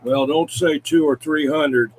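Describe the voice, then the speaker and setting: A man's voice: on the high side, steady tone, measured pace. A man chatting casually as host of a CB radio show, folksy and full of radio lingo. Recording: background noise.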